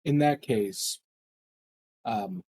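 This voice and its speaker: A man's voice: lowish voice, drawn-out words, darkish tone, breathy edge. A man playing a tabletop roleplaying game, improvising casually and a little hesitantly between table talk and speaking for his character.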